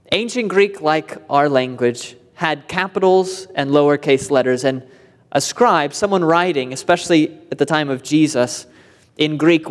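A man preaching in a church, his fairly high voice carrying through a long, echoing reverb. He explains step by step and climbs to earnest emphasis when a point matters. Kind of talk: expository sermon.

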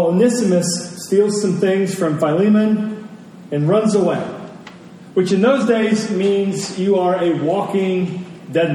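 High-voiced man preaching in an echoing hall. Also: mellow. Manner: deliberate, dramatic pauses and emphasis. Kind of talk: storytelling sermon to a congregation. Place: large indoor church space. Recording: very echoey hall, distant mic, faint noise.

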